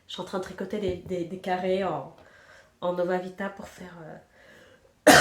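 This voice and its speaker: A woman's voice: lowish voice, fairly bright tone, roomy sound, slight background noise. Chatty woman with a stuffy head cold. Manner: chatty and self-mocking, trails off counting stitches. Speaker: knitting podcast host. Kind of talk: casual chat while knitting.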